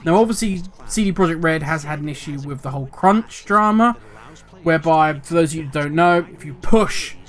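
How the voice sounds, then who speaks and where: Older male gaming host, slightly raised pitch, rambling and grumpy in tone. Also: fairly quick. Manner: talkative, rambling, self-correcting; cynical, grumbling. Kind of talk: casual commentary video.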